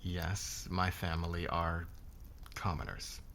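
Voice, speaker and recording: A man playing a haughty, domineering businessman boss in an intimate ASMR roleplay, speaking in a measured, condescending way. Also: deep voice, fairly even intonation, gravelly, a bit bright, slight background noise, heavily acted. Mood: businesslike.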